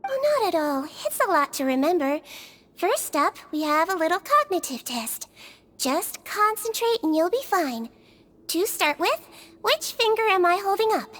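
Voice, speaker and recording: A woman voicing a gentle young girl who works as a caring head nurse, soft and reassuring in a game cutscene. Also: extremely high pitch, big pitch swings, normal speed, exaggerated voice. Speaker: nurse in a prison fortress. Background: game soundtrack music.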